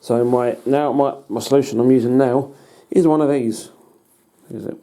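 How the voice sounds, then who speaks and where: A British man giving a casual hands-on demonstration as a hobbyist, in a halting, matter-of-fact way. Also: medium pitch, steady tone, warm tone, no echo. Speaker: hobbyist presenter. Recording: noticeable background noise.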